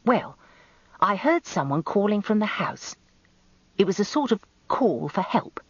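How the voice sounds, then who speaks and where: A woman's voice: medium pitch, sing-song, a little slow, breathy edge, dry recording, highs cut off, pitched above the performer's own voice, full character voice. Woman voicing weaselly, apologetic British handyman. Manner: apologetic, deferential, hesitant. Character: short, weaselly, apologetic servile handyman witness.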